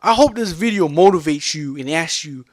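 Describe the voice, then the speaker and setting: A vlogger speaks with a fairly high-pitched voice as he rants at the camera. His delivery is rambling and emphatic, circling back on the same points and peppered with curses. The sound is dry, with almost no room echo. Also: animated, moderate pace. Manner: rambling, repetitive, profane and emphatic. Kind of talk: unscripted rant to camera.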